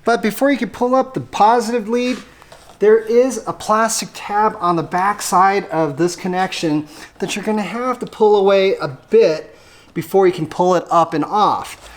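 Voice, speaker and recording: American accent, medium pitch, casual tutorial narration, conversational, explanatory, some noise behind the voice. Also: normal speed. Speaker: host of an automotive how-to channel.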